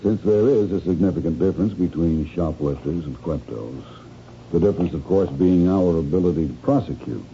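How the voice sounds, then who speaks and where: An actor playing a calm, methodical store manager who probes politely and explains with quiet condescension, in 1970s radio drama style. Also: male voice, low voice. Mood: probing, condescending. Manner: measured, politely probing, explanatory. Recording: dull lo-fi radio recording, faint noise.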